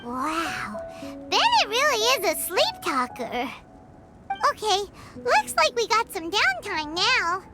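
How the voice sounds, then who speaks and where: A woman voicing a tiny, childlike, chirpy fairy companion in a video game, squeaky and exuberant. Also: wildly swooping pitch, takes it slowly, heavily acted. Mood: amazed. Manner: refers to self in third person, exuberant. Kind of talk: video game character acting. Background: music.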